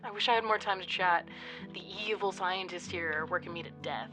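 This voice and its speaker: A young woman playing an affectionate, gently joking intern chatting with a partner in a naturalistic radio drama. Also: fairly low pitch, brisk, over music, close to an everyday voice. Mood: affectionate, wry.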